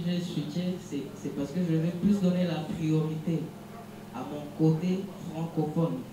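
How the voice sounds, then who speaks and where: A young man from DR Congo, a musician, giving measured, reflective interview answers with frequent restarts. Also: on the high side. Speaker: musician guest. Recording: echoey room from far off, noisy hum.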